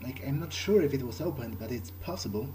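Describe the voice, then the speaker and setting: A man speaking in character through a game playthrough, halting and often repeating himself. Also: mid-range voice, expressive, a little hiss or hum. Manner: hesitant, halting, repeats words. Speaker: role-playing gamer. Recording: loud music behind.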